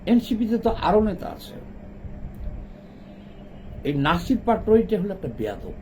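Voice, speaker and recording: A middle-aged man with a Bangladeshi accent talks politics off the cuff. His voice sits slightly high for a man but has a soft, dark tone. He is opinionated and scolding, repeating phrases to press his point, over faint ambient noise. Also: sing-song, normal speed, poor audio. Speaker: political commentator. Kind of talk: unscripted political commentary to camera.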